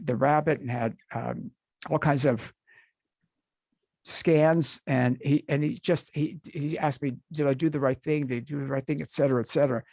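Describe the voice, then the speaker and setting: Older guy hosting a chat, gravelly and husky, dry sound, keeps restarting sentences. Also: mid-range voice, a little mellow, no echo. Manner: rambling, apologetic, halting restarts. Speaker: podcast host. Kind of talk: casual interview chat.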